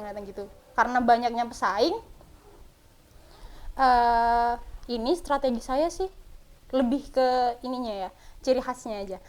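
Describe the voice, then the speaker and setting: A woman's voice: a little high, darkish tone, a little hiss or hum. A woman from Madura talking as a guest in a casual interview, chatty and modest, laughing often. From Madura, Indonesia. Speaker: guest, small dessert business owner.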